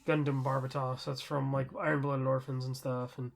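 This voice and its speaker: A man's voice: medium pitch, little pitch movement, moderate pace, gravelly. A man co-hosting a podcast, chatting casually and informally about games with enthusiasm. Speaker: podcast co-host. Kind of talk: casual gaming chat.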